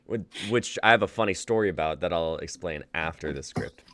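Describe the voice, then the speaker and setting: A man's voice: low-pitched, expressive, moderate pace, fairly bright tone, no echo. A young man in his twenties hosting a live script reading, laughing easily and reading the stage directions. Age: 20s. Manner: laid-back, laughing, uses y'all. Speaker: host and playwright.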